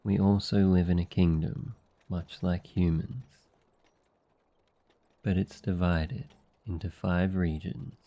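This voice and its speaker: A man playing a gentle, devoted noble demon familiar in a boyfriend ASMR roleplay, speaking softly in a tender, earnest, unhurried way. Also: low voice, steady tone, lo-fi sound. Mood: serious, explaining.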